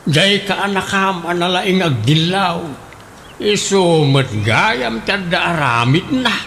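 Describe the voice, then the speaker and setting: A man plays a serious Ilocano husband in a radio drama. His voice is high for a man and bright, with a slow, measured, advising delivery. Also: expressive, gravelly, slight background noise, heavily acted. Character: older husband, serious, unhurried, reflective.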